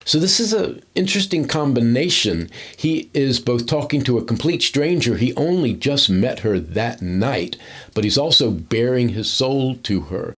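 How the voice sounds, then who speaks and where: Explanatory man with a mid-pitched voice. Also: lively intonation, normal speed, slightly rough. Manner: explanatory, conversational. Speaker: film acting commentator. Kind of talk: analytical scene commentary.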